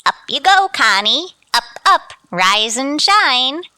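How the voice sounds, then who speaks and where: A woman's voice: high voice, very animated, slow, bright, forceful tone, no echo, studio quality, heavily acted. An actress playing a chirpy, scatterbrained, motherly landlady in a scripted radio comedy, in a sing-song, absent-minded manner. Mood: chirpy, rousing. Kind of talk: scripted radio sitcom acting.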